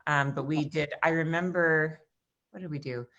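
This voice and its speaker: A low-pitched American woman in her forties hosts a casual chat over a video call. She rambles happily through her stories, padding them with fillers and restarts. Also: fairly even intonation, normal speed, a bit bright, muffled recording. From United States. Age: 40s. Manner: chatty, rambling storyteller with frequent fillers. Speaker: host.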